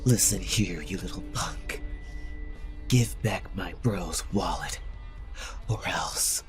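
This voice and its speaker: A man playing a sullen, hot-tempered thirteen-year-old boy with a raspy, creaky voice in a horror audio drama. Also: low-pitched, slow, soft background music. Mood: angry, threatening.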